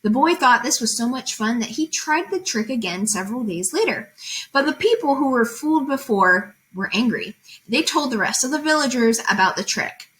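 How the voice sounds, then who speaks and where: A woman's voice: fairly high pitch, pushed and bright, poor audio. A woman reading fables aloud and explaining them as a teacher, in an informal, conversational way with guiding questions.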